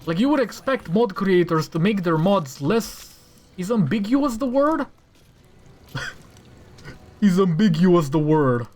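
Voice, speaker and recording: A man's voice: high-pitched, sing-song, takes it slowly, pauses often, a little mellow. A man hosting a gaming channel, thinking aloud in casual gameplay commentary and breaking into exasperated rants. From Romania. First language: Romanian. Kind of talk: casual gameplay commentary while showing a mod.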